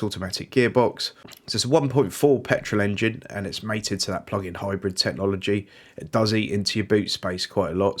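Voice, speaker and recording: A British man with a fairly low voice and a slightly blocked, snuffly nose from a cold. He talks casually and informally, like a channel host, rattling off lease prices and car specs. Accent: British English. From United Kingdom. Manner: chatty, informal, reels off figures. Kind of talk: casual review monologue.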